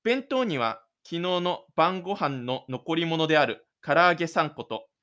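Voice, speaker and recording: A man's voice: a little high, lively intonation, a little slow, a bit bright. A man presenting his own self-made audio drama, moving between casual radio-show talk and scripted narration.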